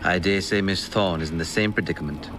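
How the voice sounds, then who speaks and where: An actor as a reserved gentleman in a game, polite and hesitant. His voice is deep, with clear noise behind it. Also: fairly quick, natural voice. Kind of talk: video game dialogue acting. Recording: noisy street ambience behind.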